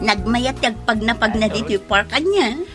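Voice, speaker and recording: A woman's voice: fairly high pitch, lively intonation, moderate pace, loud music behind the voice, close to an everyday voice. A woman with an Ilocano Filipino accent acting as an outspoken, teasing young best friend in a radio drama.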